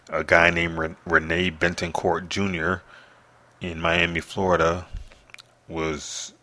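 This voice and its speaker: A man with a deep voice keeps his volume down as he hosts a solo show from his apartment. His delivery is monotone but edged and forceful, and he rambles, stopping and restarting his sentences. The tone stays hushed and halting throughout. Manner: speaks softly, hushed; halting, many restarts and fillers. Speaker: podcast host. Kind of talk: rambling solo podcast monologue.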